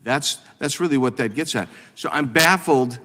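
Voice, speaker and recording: A congressman questioning witnesses at a committee hearing, talking in halting bursts with many restarts and fillers. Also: mid-range voice, bright, forceful tone. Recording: long reverb of a hearing room.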